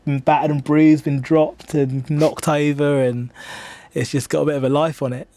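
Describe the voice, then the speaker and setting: A man's voice: fairly high pitch, little pitch movement, moderate pace, warm tone. A man in his twenties with an English accent, chatting casually as a musician in a radio interview, self-deprecating and rambling with hesitations. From England. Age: 20s. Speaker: singer-songwriter being interviewed. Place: radio studio.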